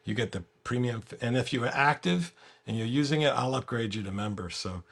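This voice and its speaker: A man's voice: mid-range voice, brisk, gravelly, a bit bright. A man speaking as a podcast guest in casual, laid-back and informal chat. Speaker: guest, software developer.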